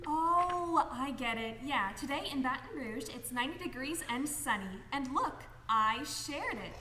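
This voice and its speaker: A woman's voice: high voice, expressive, measured pace, pushed and bright, full character voice. An actress playing a ditzy, carefree teenage girl with a vacant drawl and gushing slang in a comic radio sketch. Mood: oblivious. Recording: echoey hall, faint background noise.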